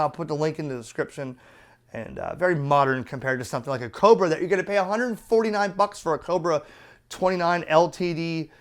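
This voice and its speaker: Clear-voiced man demonstrating gear. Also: fairly high pitch. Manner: blunt, colloquial, talking step by step. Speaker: radio product reviewer and presenter. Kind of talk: casual unscripted hands-on demonstration and review.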